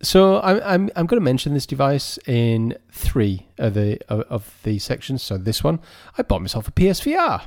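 A man's voice: medium pitch, sing-song, normal speed, few pauses. A man in his forties, co-hosting a podcast in casual chat, stammering a little on restarts. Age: 40s. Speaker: podcast co-host.

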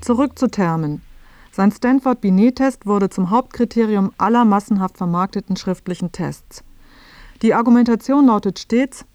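A woman's voice: mid-range voice, darkish tone, no room sound. A woman reading scripted documentary narration as the narrator of a radio feature.

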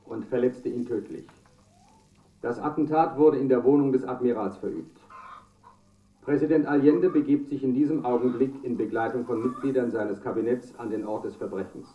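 A man's voice: mid-range voice, distant mic, close to an everyday voice. A man acting a sober newsreader in a radio drama. His delivery is formal and factual. The sound is dull, reverberant and set back from the microphone. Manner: formal, measured. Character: sober male radio news announcer. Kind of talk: scripted news bulletin.

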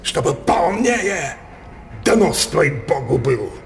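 A man's voice: fairly high pitch, big pitch swings, slow, gravelly, exaggerated voice. An actor playing a power-hungry, wrathful old king in a theatrical radio play, declaiming in an imperious, passionate way. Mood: menacing courting. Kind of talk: theatrical verse radio drama acting. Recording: music behind the voice.

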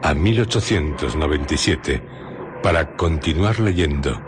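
A man with a Castilian Spanish accent presenting a literary radio programme in a formal, measured, scripted delivery. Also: extremely low pitch, expressive, noisy background. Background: music.

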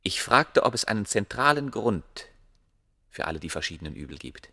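Proud, forceful scholar, crisp-edged. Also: male voice, on the low side, sing-song, no room sound, studio quality, exaggerated voice. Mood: grave, intense. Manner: grave, declamatory, deliberate phrasing. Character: proud, obsessive ancient scholar revealing his discovery. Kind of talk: German radio play acting.

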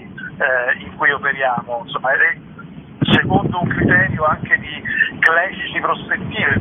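Halting, high-voiced man on a tinny phone. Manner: hesitant, repeats words, intellectual vocabulary. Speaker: festival director being interviewed. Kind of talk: spontaneous radio interview. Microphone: telephone call. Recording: tinny phone line with clear noise.

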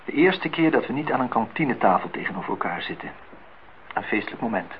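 Acteur als hoffelijke dertiger, blikkerige oude opname. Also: male voice, mid-range voice, very animated, fast talker, lightly acted. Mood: gallant. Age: 30s. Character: courting bachelor department head of 32, awkwardly gallant. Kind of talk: radio play acting, intimate dialogue. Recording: tinny, noisy old radio play recording.

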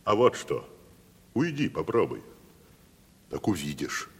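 A man's voice: low voice, wildly swooping pitch, slow, gravelly, slightly rough, heavily acted. An actor playing a pompous, down-at-heel provincial tragedian who talks down to his companion in a classical radio comedy. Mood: coaxing, threatening. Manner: patronising, grandly condescending. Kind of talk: Russian classical stage comedy, radio play. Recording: reverberant 1970s radio drama recording.

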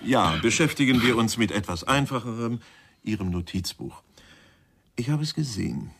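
An actor playing a police inspector in a German radio crime drama, questioning in a measured, dryly polite but insinuating way. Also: male voice, lowish voice, big pitch swings, vocal fry, background noise.

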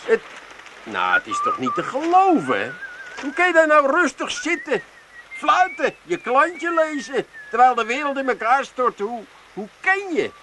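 A man's voice: extremely high pitch, wildly swooping pitch, unhurried, noisy background, full character voice. An actor playing a gloomy, anxious man who laments the state of the world in a comic radio play. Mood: anxious, indignant. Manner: plaintive, indignant lamenting. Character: gloomy, anxious, fretful doom-mongering brother-in-law.

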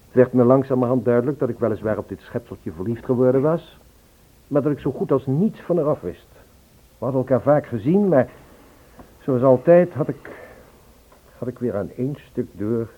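A man's voice: medium pitch, normal speed, breathy edge, natural voice. An actor playing an earnest, lovesick man searching for a woman in an old Dutch radio play. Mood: wistful narration. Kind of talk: Dutch radio play, acting and first-person narration. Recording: tinny phone-like old radio recording.

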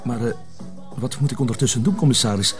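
A man's voice: mid-range voice, quick pace, faint music behind, lightly acted. An actor playing an earnest junior policeman, polite and hesitant, with a Flemish accent in a naturalistic TV drama. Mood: earnest, apologetic.

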